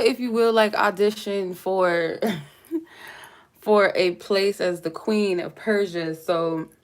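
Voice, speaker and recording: A woman doing a casual, meandering tarot reading, chatty and lightly joking, sounding stuffy from a cold. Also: lowish voice. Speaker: tarot reader. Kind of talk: casual spiritual reading to camera.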